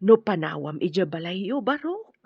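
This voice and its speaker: A woman's voice: fairly low pitch, big pitch swings, moderate pace, husky, no room sound, lo-fi sound, full character voice. A woman with a Filipino accent playing a protective middle-aged mother who keeps a small store in a radio drama. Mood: solemn. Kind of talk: Ilocano radio drama acting.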